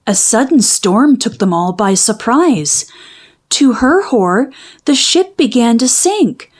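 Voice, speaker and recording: A woman reading a children's story aloud in expressive, dramatic, carefully phrased narration. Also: on the high side. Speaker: storybook reader.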